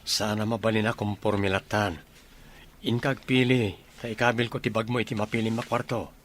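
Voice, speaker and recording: An actor playing a courteous, attentive young gentleman in an Ilocano radio comedy drama. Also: on the low side, little pitch movement, moderate pace. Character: courteous, attentive young gentleman showing his partner around home.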